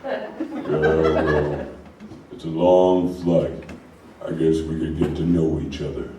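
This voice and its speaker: A man's voice: extremely low pitch, flat delivery, slow, warm tone, pitched below the performer's own voice. A man playing a sullen, touchy henchman in a live radio play, grumbling and petulant. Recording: echoey, distant live room, background noise.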